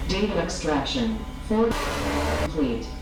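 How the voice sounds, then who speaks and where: A woman voicing a flat, impersonal computer system that makes clipped automated announcements in an analog horror video. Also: sits low, a little slow. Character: flat computer voice announcing data extraction progress. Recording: distant echoey voice in loud noise.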